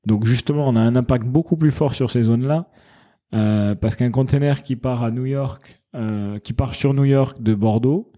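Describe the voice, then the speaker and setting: A man with a mellow, relaxed tone chats as a podcast guest. He explains his business earnestly and works his thoughts out as he speaks, in an easygoing conversational way. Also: medium pitch, normal speed. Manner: earnest, explanatory, thinks aloud mid-sentence. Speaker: founder of a wine company, podcast guest. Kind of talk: casual interview chat.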